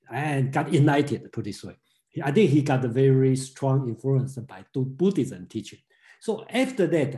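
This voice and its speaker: A man's voice: mid-range voice, dark tone. A man in his sixties from Taiwan hosting an online discussion group on a video call from home, talking with many fillers and restarts. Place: home room. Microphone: laptop webcam on a video call.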